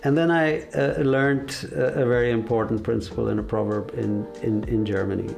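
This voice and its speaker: A man in his fifties speaking in a measured, reflective way with frequent hesitations, giving a documentary interview about his career as a car designer. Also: mid-range voice, fairly even intonation, vocal fry, a little mellow, soft background music. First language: English.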